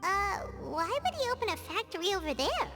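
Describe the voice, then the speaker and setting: A woman voicing a tiny floating female companion, bubbly and excitable, talking about herself in the third person. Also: extremely high pitch, fairly quick, exaggerated voice. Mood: chirpy. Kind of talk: game dialogue. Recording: game audio with music behind.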